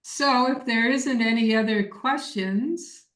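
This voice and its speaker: A woman's voice: on the high side, slow, a bit bright. A woman leading an informal online workshop, answering questions in an encouraging, emphatic way. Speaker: workshop leader. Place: indoor room on a video call. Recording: echoey room.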